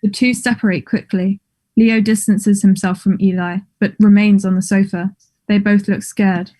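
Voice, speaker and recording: Woman, mellow, mid-pitched, scripted narration, stage directions. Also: steady tone, normal speed.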